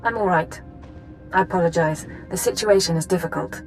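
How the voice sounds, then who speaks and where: A woman's voice: lowish voice, soft background music, natural voice, rough recording. Woman playing a grave, formal intelligence chief. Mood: controlled, somber. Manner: measured, formal briefing delivery. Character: grave, driven female intelligence chief, controlled under strain. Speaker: mission handler in a sci-fi game. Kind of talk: dramatic game voice acting.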